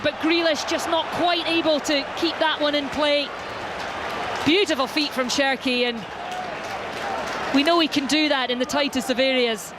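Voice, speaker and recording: A man with a very high, light voice calls a football match play by play. His delivery rises with each attack. A loud stadium crowd roars behind him, with steady noise in the mix. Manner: fast, rising calls over the action. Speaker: play-by-play commentator. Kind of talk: live football commentary.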